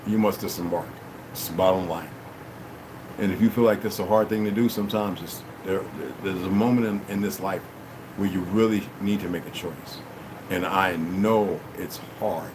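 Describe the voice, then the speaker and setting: A man's voice: sits low, normal speed. A man hosting a livestream at home, chatting casually and rambling, thanking donors and often asking "you know what I mean". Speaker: livestream host. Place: room at home. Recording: steady air-conditioner hum behind.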